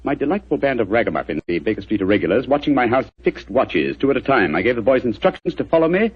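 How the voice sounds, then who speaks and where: An actor playing a brilliant, calm detective with dry wit in 1940s radio acting, speaking in a crisp, precise manner over a dull old radio broadcast. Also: male voice, medium pitch, fast. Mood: brisk.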